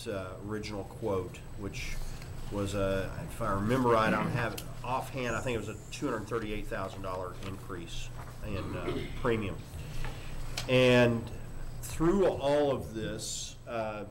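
An older man, a school superintendent, giving a formal report at a board meeting in a halting, measured way with frequent pauses. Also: lowish voice. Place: indoor board meeting room. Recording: noisy meeting room microphone.

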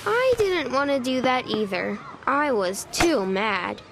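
A woman narrating a children's storybook in an expressive, deliberate way, stretching key words. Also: high-pitched, creaky, noisy background.

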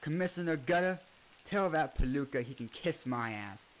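A man's voice: on the high side, lively intonation, moderate pace, close to an everyday voice. A man as a brash bootlegger. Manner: brash 1920s slang. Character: jovial male bootlegger, Irish-tinged.

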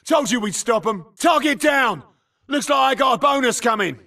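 A man's voice: very high-pitched, expressive, moderate pace, vocal fry, sharp tone, far from the mic, full character voice. A man playing an urgent, tough infantry soldier in a video game, barking clipped combat callouts. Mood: triumphant. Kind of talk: shouted military combat barks.

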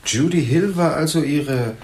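A man's voice: on the high side, a little slow, a little mellow, echoey room. An actor playing a blunt, probing police investigator in a German crime radio drama, direct and pointed in his questions.

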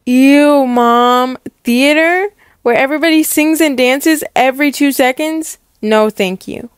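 A woman voicing a bookish, lonely, reluctant schoolgirl in a deadpan, sulky way for an animated story. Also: high voice, a little slow, no echo, studio quality. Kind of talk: animated roleplay story acting.

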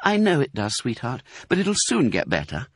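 A man voicing a tender, anxious mother fox in an expressive children's storybook reading. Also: high voice, measured pace, breathy edge, no room sound, pitched above the performer's own voice, full character voice. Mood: tender, soothing. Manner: tender, emotional, fretful.

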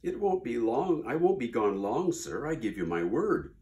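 Storybook bird: man playing a lazy, pleading female bird, high and swooping. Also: very animated, moderate pace, dark tone, close to an everyday voice. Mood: coaxing.

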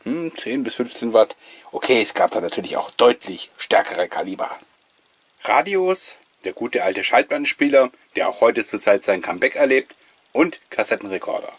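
A man's voice: mid-range voice, lively intonation, normal speed, breathy edge, no room sound. A middle-aged man giving a casual, nostalgic voice-over commentary, reminiscing with wistful enthusiasm as the host. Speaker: host of a collectors' video channel.

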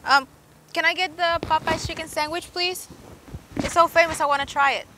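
A woman's voice: extremely high pitch, fairly even intonation, moderate pace, background noise. A woman in her twenties, a vlog co-host, speaking casually and politely. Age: 20s.

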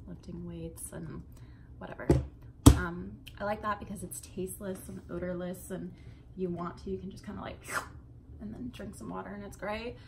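A woman's voice: mid-range voice, background noise. A young adult woman chatting casually to camera in an informal, rambling way, recorded in a bedroom. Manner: informal, swearing, rambling asides. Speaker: vlogger. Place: bedroom indoors.

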